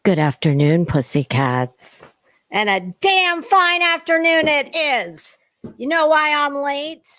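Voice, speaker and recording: High-pitched woman, American accent, slow pace, dramatic drawn-out delivery, casual livestream chat. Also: very animated, fairly bright tone. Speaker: livestream host. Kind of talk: casual chatty livestream monologue.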